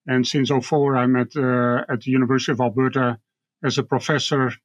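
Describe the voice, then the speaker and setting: A man with a Dutch accent explaining things as an expert guest in an interview, measured and halting, often repeating words. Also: mid-range voice, flat delivery, dry recording. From Netherlands. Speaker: guest expert, university professor.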